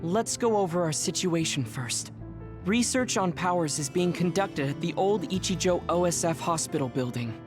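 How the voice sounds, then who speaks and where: An actor playing a composed, analytical young strategist in a video game, speaking formally and in a measured way. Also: male voice, on the high side, close to an everyday voice. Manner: measured, formal, matter-of-fact. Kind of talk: anime game dub acting.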